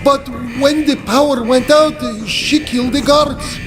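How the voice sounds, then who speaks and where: Game role: formal male prisoner, stilted delivery, very high pitch, clear music underneath. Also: fairly even intonation, measured pace. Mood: puzzled, concerned. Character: Russian male official, rescued prisoner, formal and grateful.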